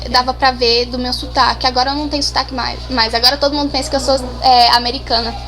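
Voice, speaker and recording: A woman's voice: high voice, flat delivery, fast, music in the background. A seventeen-year-old girl from Brazil, answering interview questions casually, shy and hesitant with many restarts. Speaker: interview guest.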